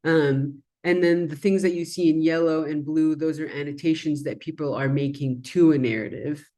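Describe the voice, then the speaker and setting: A woman's voice: low voice, fairly even intonation, moderate pace, mellow. A woman, a native Arabic speaker, walks an audience through her design work in an easygoing, thoughtful way, with the odd "um" as she goes. Her tone is conversational rather than polished, like a talk built around slides. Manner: reflective and conversational, with frequent "um" and "kind of". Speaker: design educator presenting her research.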